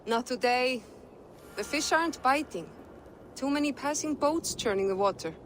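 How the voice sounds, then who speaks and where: A man's voice: very high-pitched, animated, moderate pace, fairly bright tone, slight background noise. An actor voicing a light, chatty male fisherman in a video game. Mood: easygoing. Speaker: game character.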